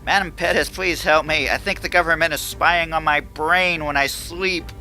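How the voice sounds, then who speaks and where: Casting: a panicky paranoid caller, pleading, with music under. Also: male voice, a little high, steady tone, normal speed, pushed and bright. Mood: frantic. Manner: pleading, frantic. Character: paranoid frantic male caller, desperate.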